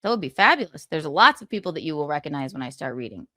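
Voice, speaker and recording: A woman's voice: low voice, sing-song, quick pace, sharp tone, no room sound. A woman streamer reads from a book aloud and breaks off for sarcastic comments and chuckles. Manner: sarcastic asides, frequent chuckles; thanks viewers for donations mid-read.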